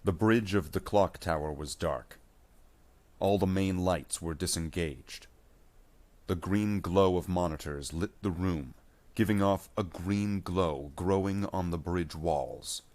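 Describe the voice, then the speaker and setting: A man with a low-pitched voice serves as narrator for a science fiction tale. He reads from a script in a measured, dramatic storytelling style, keeping to his own voice throughout. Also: darkish tone. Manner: measured storytelling delivery without character voices.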